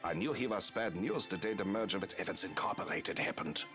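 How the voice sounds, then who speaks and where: A man's voice: deep voice, expressive, a little fast. A man voice acting a grumbling party gossip in a visual novel, with music behind him. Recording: game music behind dialogue.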